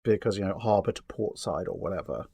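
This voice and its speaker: A man's voice: deep voice, quick pace, gravelly, warm tone, slightly rough. A man chatting casually and discursively, with a wry streak and a taste for hypothetical examples. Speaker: guest answering history and starship questions.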